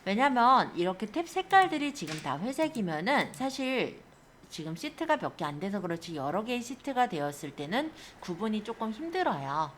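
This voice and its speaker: A woman instructor giving an informal step-by-step software tutorial, explaining things and often checking that learners follow. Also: mid-range voice, very animated, moderate pace, slight background noise. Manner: explanatory, often checks understanding with tag questions. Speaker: computer skills instructor.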